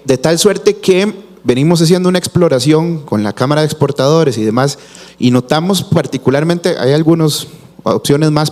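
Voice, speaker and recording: A man's voice: medium pitch, darkish tone. A man from Costa Rica, a security company executive, explaining his views on a business panel in a conversational way with many fillers. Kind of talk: panel discussion answer. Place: conference hall. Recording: echoey hall reverb.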